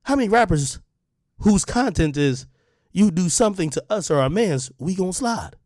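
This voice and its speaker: A man's voice: high voice, expressive, normal speed, darkish tone, no room sound. Heated confrontational man, dry close sound. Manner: confrontational, heated, repeats points. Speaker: online media personality. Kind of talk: direct-to-camera rant.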